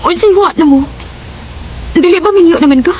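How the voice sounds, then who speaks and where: A woman's voice: extremely high pitch, moderate pace, highs cut off, soft background music. A woman acting a heartbroken young woman in love in a Cebuano radio drama, with an emotional, plaintive delivery. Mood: accusing, wary.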